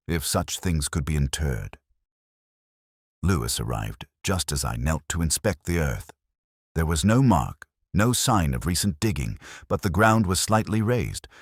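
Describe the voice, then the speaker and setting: A man narrating a literary first-person detective drama as a melancholy, world-weary investigator, in a measured, brooding, solemn way. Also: extremely low pitch, big pitch swings, husky, dry recording, studio quality.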